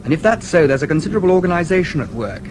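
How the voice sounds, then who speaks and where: An actor playing a composed, protective brother in a radio drama, clipped and polite but firm. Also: male voice, on the high side, very animated, rapid-fire, noisy background. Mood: brisk, concerned. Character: crisp, protective brother, a composed gentleman standing his ground.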